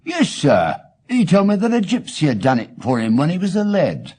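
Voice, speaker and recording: Casting: man playing indignant but deferential English shopkeeper for an audiobook; high, very animated, emphatic and plaintive. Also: normal speed, slightly rough, heavily acted. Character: aggrieved, simple male London tradesman, earnest and indignant. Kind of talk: dramatic audiobook character reading.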